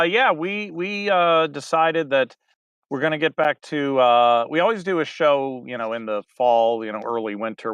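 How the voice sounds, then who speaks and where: A man's voice: mid-range voice, fairly bright tone, clean tone, no room sound. A man, a theater director, chatting as an interview guest, wandering through his answers with lots of fillers and restarts. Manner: rambling, many fillers and restarts. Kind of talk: casual interview chat.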